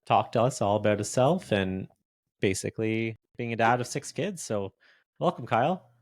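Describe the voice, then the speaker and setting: A man's voice: lowish voice, moderate pace. A man co-hosting a parenting podcast, reading a scripted intro and then chatting easily with fillers. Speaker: podcast co-host.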